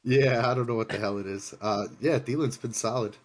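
A man's voice: mid-range voice, brisk. A man co-hosting a casual sports podcast, blunt and sarcastic, swearing freely. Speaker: podcast co-host. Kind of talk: casual chat.

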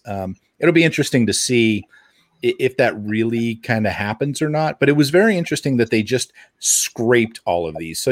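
A man's voice: medium pitch, no room sound, studio quality. A man co-hosting a podcast, giving casual, explanatory commentary in a conversational way.

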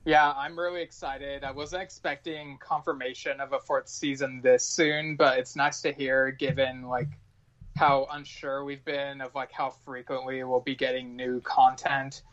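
A man's voice: mid-range voice, little pitch movement, a little slow, fairly bright tone. A man speaking as a podcast guest in casual conversation, on slightly dull, lo-fi audio.

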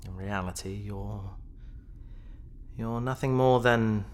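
An actor playing a disturbed, self-loathing man in a sci-fi audio drama, with a bitter, halting, desperate manner. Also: lowish voice. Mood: bitter despair. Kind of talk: science fiction audio drama acting.

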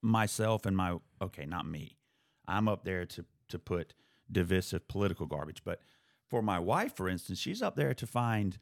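A man's voice: deep voice, big pitch swings, moderate pace, vocal fry. A man hosting a casual podcast conversation, thoughtful and steering the talk with questions. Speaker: podcast host.

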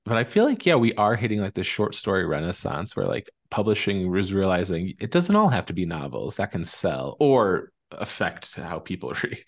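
A man's voice: sits low, sing-song, normal speed, few pauses. A man hosting a podcast interview in a casual, informal, effusive way.

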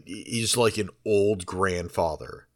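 A man's voice: low voice, slow. A young man co-hosting a podcast in casual chat, laid-back, swearing freely and laughing easily. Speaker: podcast co-host.

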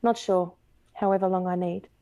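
A woman narrator plays an easygoing man in a romance audiobook, curious and lightly teasing. The voice is mellow and softly rounded, with a dry, close sound. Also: lowish voice, fairly even intonation, normal speed, dry recording, lightly acted. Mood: casual. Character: friendly, curious, easygoing male painter in romance novel.

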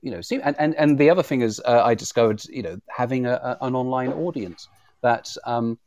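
A man's voice: mid-range voice, a little mellow, no room sound. A man with a British accent in an informal interview, often repeating words as he finds his way through a sentence. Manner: halting, repeats words as he goes. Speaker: audio drama creator, interviewer. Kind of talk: informal live conversation interview.

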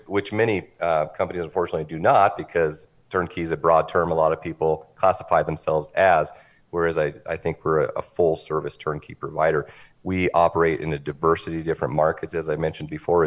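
A man giving an articulate, businesslike pitch as an interview guest, explaining things in a measured, polished way. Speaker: interview guest, company representative.

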